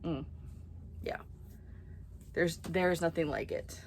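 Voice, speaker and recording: A woman's voice: deep voice, takes it slowly, slight background noise. Chatty young woman, book haul chat. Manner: chatty and excitable, drops to whispered asides. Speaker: book vlogger.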